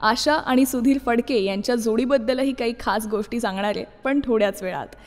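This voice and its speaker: A woman's voice: fairly high pitch, brisk. A woman presenting a scripted radio show as its host. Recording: long reverb, music behind. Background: soft music.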